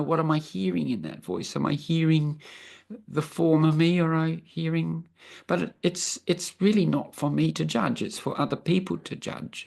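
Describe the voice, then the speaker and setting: A woman in her sixties with a croaky voice from travel, talking earnestly as a guest on a video call and restarting her sentences often. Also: low-pitched, a little mellow. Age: 60s. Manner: earnest, thinking aloud with many restarts. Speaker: interview guest. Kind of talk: reflective personal conversation.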